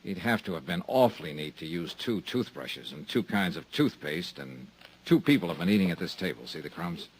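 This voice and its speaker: A hard-boiled insurance investigator talks low and deep in short, dry lines. This is a 1950s radio play with a faint background hiss. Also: male voice, big pitch swings, fairly quick. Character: tough male insurance investigator narrating. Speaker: lead actor and narrator. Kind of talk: 1950s radio drama acting.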